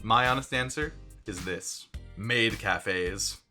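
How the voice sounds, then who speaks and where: A young man telling a travel story in a casual vlog, animated and joking, with dry asides. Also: on the low side, takes it slowly, soft background music. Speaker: vlogger.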